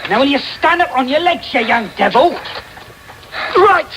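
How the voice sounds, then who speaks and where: Bluff police constable, English, brisk and rough, animated, noisy old radio drama recording. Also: male voice, very high voice, roomy sound. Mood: gruffly impatient. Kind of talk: period radio drama acting. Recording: noisy medium-wave radio taping.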